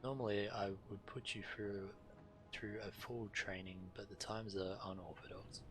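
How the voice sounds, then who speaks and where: A man reading quest dialogue aloud in a measured way while streaming a game. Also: fairly low pitch, fairly even intonation, background noise.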